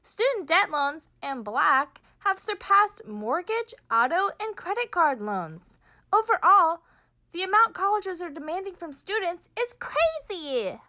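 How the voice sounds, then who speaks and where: A woman voicing a concerned, brisk female puppet presenter who hosts a scripted show in an emphatic, declamatory way. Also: very high-pitched, sing-song, sharp tone, heavily acted. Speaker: host.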